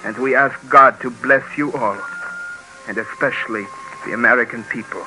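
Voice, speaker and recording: An actor playing a weary, earnest young Eastern European survivor in a 1950s radio documentary, speaking in solemn, measured, formal pleas. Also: male voice, medium pitch, over music, close to an everyday voice, poor audio. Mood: earnest, grateful. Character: weary 24-year-old married Eastern European survivor, earnest letter writer.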